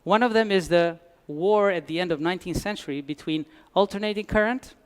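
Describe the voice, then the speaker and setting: High-voiced conference speaker. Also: male voice, fairly quick, clear, resonant tone. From Albania. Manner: dry humor, frequent restarts. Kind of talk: conference talk. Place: conference hall.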